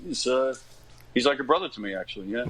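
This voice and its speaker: A man of about fifty from Long Island, New York, telling stories casually as an interview guest, in a halting, informal way that often doubles back. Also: mid-range voice, expressive, a crowd in the background, rough recording. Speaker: interview guest, stage actor. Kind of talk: casual conversational storytelling.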